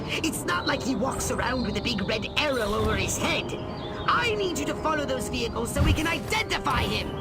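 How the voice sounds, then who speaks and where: Bossy, excitable game alien boss; shrill, nasal, wildly animated, haughty. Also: male voice, heavily acted. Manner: haughty, theatrical. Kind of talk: video game cutscene acting. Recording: loud music under dialogue.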